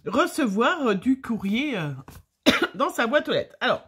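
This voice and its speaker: A woman's voice: a little high, very animated, measured pace, fairly bright tone, husky. Chatty French woman with a slight cold. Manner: chatty, lots of fillers and restarts, rambling. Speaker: scrapbooking vlogger. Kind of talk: casual chat, opening mail on camera.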